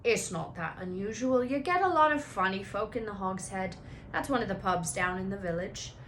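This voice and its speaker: A woman's voice: mid-range voice, animated, moderate pace, fairly bright tone, slight background noise. A woman voicing a big friendly, rambling gamekeeper man in a children's book read-aloud. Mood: casual, rambling. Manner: folksy, rambling, blurting.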